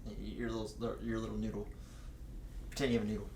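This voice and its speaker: A man's voice: on the low side, completely flat delivery, fast, darkish tone. A man casually reading fan fiction aloud in a crude, jokey way, often breaking into banter. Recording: roomy echo, faint background noise.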